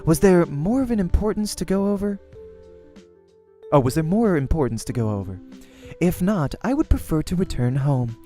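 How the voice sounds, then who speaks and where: A man's voice: a little high, expressive, moderate pace, dark tone, soft background music, voice pushed up, lightly acted. A man voicing a blunt, coolly formal young woman pro gamer in a visual novel read-aloud, speaking precisely and matter-of-factly.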